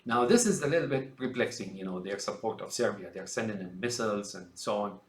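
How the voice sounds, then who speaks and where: A man's voice: fairly low pitch, lively intonation, a little fast, reverberant. A man from Syria giving a rambling, emphatic political monologue, often calling his listeners folks. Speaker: commentator.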